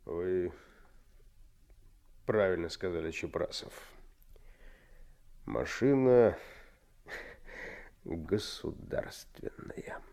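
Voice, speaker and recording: An actor playing a stern, short-tempered garage boss in a radio play, with a gravelly bass voice and terse, halting delivery. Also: male voice, expressive, mellow, full character voice. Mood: dry, collected. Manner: terse, halting when agitated. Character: stern dry garage boss, easily losing his temper. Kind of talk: 1970s Soviet radio play acting.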